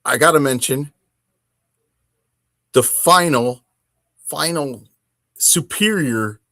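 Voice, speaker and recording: A man's voice: a little high, takes it slowly, bright, forceful tone, dry recording, studio quality. A middle-aged man hosting a casual solo hobby podcast, talking in an informal, rambling way with emphatic turns of phrase. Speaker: podcast host.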